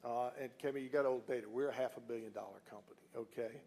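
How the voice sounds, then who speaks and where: Folksy Southern man, gravelly edge. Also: mid-range voice, fairly even intonation, fast, mellow, a little hiss or hum. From southern United States (Alabama and Louisiana). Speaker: company executive speaking at an announcement. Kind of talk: informal public speech.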